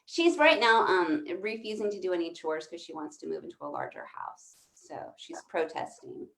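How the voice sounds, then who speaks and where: A woman's voice: lowish voice, wildly swooping pitch, normal speed. A woman doing conversational stand-up comedy, rambling and swearing as she interrupts herself, recorded in an echoey room. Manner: rambling, profane, self-interrupting.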